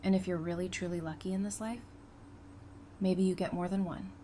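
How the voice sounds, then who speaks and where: A woman's voice: lowish voice, fairly even intonation, a little fast, mellow, close to an everyday voice. A woman playing a tender, devoted bride in an audio drama, speaking earnestly and emotionally. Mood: heartfelt. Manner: earnest, emphatic, emotional delivery. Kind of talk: fan audio drama acting. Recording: faint background noise and ambience.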